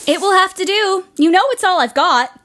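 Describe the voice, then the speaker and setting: A woman's voice: very high-pitched, animated, moderate pace, a bit bright. A woman playing an exuberant, blunt, tomboyish teenage girl in an audio drama, with some noise in the recording. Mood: breezy. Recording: noticeable background noise.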